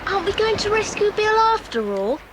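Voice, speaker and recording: A woman's voice: extremely high pitch, sing-song, a little fast, noisy background. A woman playing an anxious, inquisitive young girl in a children's adventure drama. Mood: anxious, curious.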